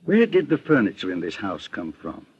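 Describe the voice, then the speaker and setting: Shrewd middle-aged detective boss, American, husky and measured, vintage radio drama with muffled sound. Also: male voice, low voice, huge pitch swings, heavily acted. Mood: calm, commanding. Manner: measured, deliberate, composed. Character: shrewd, calm, authoritative male private detective, the boss. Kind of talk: old-time radio detective drama acting.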